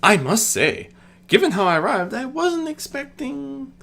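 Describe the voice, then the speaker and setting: A narrator as a courteous pony princess, swooping pitch. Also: very animated, unhurried, gravelly, exaggerated voice. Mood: awed, gracious. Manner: warm, polite, formal. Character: courteous, wonder-struck regal winged pony princess. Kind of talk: amateur audiobook character reading.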